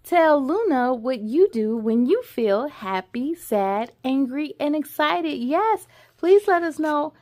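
A woman in her thirties hosts a read-aloud for kids. Her voice is high and very animated, with an expressive, sing-song way of addressing children. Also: takes it slowly. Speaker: children's story time host. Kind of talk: children's read-aloud with asides to young viewers. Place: living room at home.

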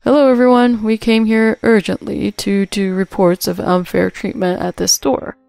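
A man in his fifties, a senior executive in an audio story, acted by a woman. He is blunt and grave, with creak and a dry sound over music. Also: medium pitch, little pitch movement, normal speed, a little mellow, dry recording, lightly acted. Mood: formal. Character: blunt, authoritative silver-haired male executive in his fifties.

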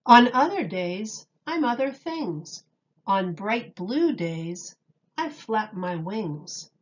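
A woman reading a children's picture book aloud like a teacher, deliberately and expressively, with dramatic emphasis on key words. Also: medium pitch, big pitch swings, lo-fi sound.

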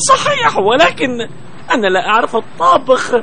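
Squeaky, timid cartoon snake. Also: male voice, wildly swooping pitch, moderate pace, gravelly, crowd noise, full character voice. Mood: defensive. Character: timid, pleading male cartoon snake, often hungry. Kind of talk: children's cartoon dubbing in formal Arabic.

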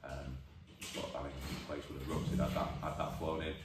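Very deep-voiced manager in echoey room. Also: male voice, level tone, distant mic, noisy background. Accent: Northern English. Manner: measured, thoughtful, many restarts. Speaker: football manager. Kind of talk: post-match interview. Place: empty changing room. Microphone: camera at a distance.

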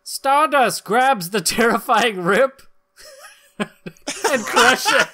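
A man's voice: very high-pitched, animated, very slow, sharp tone. A middle-aged man co-hosting a podcast, reading comic captions dramatically and bantering casually. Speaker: podcast co-host, narrator.

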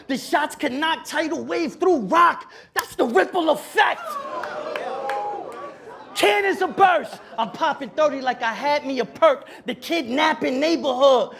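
A man's voice: very high voice, measured pace, creaky, a faint crowd. A man in his thirties performing battle rap a cappella on a club stage, taunting his opponent and repeating his lines, with Spanish slang mixed in. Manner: aggressive, taunting, repeats and shouts his bars. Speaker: battle rapper. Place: indoor club stage with a live crowd.

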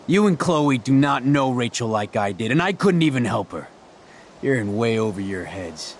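An actor with an American accent playing a volatile, defensive dog owner in a video game, talking in a gruff, confrontational, foul-mouthed way. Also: male voice, medium pitch, very animated, normal speed, slight background noise, natural voice. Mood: guarded, brooding. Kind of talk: dramatic video game voice acting.

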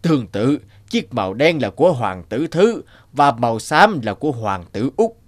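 A man voicing an aging, authoritative king with a deliberate regal manner in a cartoon. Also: medium pitch, very animated, dry recording, lightly acted. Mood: solemn. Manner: slow, regal.

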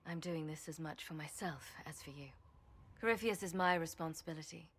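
An actress playing a battle-worn, wry champion and rebel mage in a video game, speaking in an earnest, measured way. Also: female voice, low-pitched, breathy edge, full character voice. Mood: grave, resolved. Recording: loud ambient noise behind.

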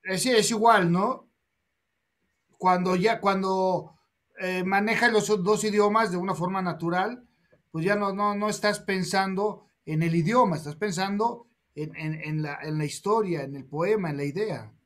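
A man's voice: high voice, unhurried, fairly bright tone. A man in his forties hosting a video call interview, asking questions with hesitations and giving frequent short assents. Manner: attentive, frequent "ajá" backchannels, hesitant questions. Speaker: host, interviewer. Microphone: webcam mic on video call.

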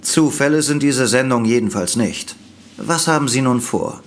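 A man's voice: medium pitch, lively intonation, normal speed, a little hiss or hum, lightly acted. An actor playing a dutiful, cautious male police officer in a German audio drama, speaking formally and correctly. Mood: matter-of-fact.

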